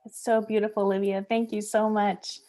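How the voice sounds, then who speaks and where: A woman's voice: medium pitch, flat delivery, normal speed, warm tone. A woman hosting a live online book event, welcoming guests and asking questions with frequent fillers. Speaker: museum program host. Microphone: video call.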